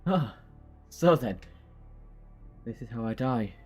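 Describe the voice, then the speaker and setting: A man's voice: medium pitch, lively intonation, slow, a little mellow, husky, faint music behind. A man playing a quirky, compassionate, talkative time-travelling hero in an audio drama, earnest and wry. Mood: resigned.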